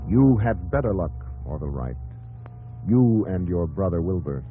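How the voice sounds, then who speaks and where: Male American narrator, very low and grave, probing 1950s radio drama delivery, dull vintage recording. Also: big pitch swings, moderate pace. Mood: hushed, suspenseful. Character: solemn, probing male narrator addressing the hero directly. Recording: muffled old radio broadcast, music underneath.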